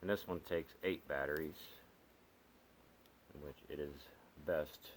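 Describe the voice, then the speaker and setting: A man reviewing gear as a hobbyist in a casual, unscripted, halting and rambling way, with pauses as he explains. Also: extremely low pitch, fairly even intonation, slight background noise.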